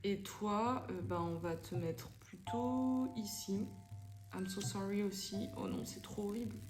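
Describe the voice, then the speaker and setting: A woman's voice: medium pitch, measured pace, darkish tone. A young woman from France giving casual let's-play commentary, chatty and hesitant with many fillers and English phrases dropped in. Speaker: gaming YouTuber. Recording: echoey room with background music. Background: game music.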